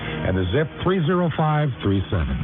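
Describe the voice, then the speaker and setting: A man hosting a radio program, talking to listeners in a sign-off style and giving details deliberately. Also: a little high, very animated. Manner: spells out and repeats details deliberately. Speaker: radio host. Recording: lo-fi shortwave radio with music.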